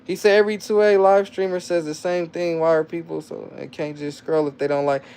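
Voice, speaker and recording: Smooth-voiced man chatting on a livestream. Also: a little high, level tone, a little fast, a little mellow. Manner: loose, rambling slang with frequent restarts. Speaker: livestreamer reading chat. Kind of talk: casual live-stream chat.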